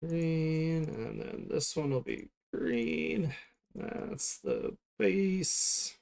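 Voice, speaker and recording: A man's voice: a little high, huge pitch swings, very slow, creaky, darkish tone. A man talking casually to viewers on an art livestream, rambling along as he works. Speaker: art streamer hosting a livestream. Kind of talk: casual livestream chat while drawing.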